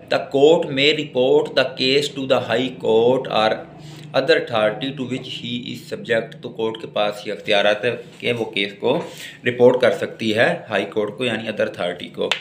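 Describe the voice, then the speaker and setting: A man's voice: medium pitch, a bit bright, a little hiss or hum. A man with a Pakistani accent giving a law lecture, didactic, moving between word-by-word dictation and explanation. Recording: echoey room.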